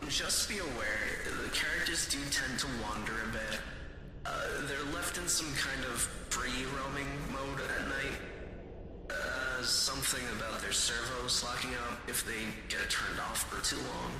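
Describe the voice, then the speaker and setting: Casting: male actor as chatty, uneasy night-shift guard for a game, mid-pitched, halting phone-message delivery, very echoey sound. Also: close to an everyday voice. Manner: hesitant, stammering, falsely reassuring. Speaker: security trainer on recorded messages. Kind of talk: casual rambling phone-message acting. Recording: echoey long reverb, loud music behind. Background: loud music.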